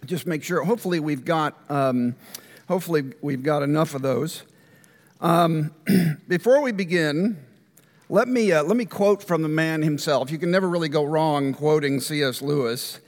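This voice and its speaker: An older man, a guest minister, giving a relaxed conversational lecture to a church class, often clearing his throat. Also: on the high side, unhurried, big-room sound. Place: large church room.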